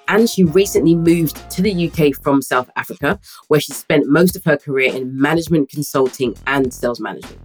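A woman with a notably low voice co-hosts a sales podcast, keen and lively as she chats. Soft music sits faintly under her words. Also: a little fast. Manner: upbeat, enthusiastic. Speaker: podcast co-host. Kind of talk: podcast hosting.

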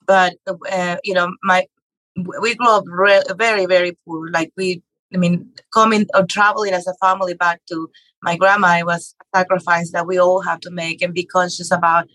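A woman's voice: lowish voice, flat delivery, normal speed, fairly bright tone, no echo, low-quality recording. A middle-aged woman from Mexico, a real estate investor and author, telling her story as a podcast guest with many fillers and restarts. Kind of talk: conversational interview.

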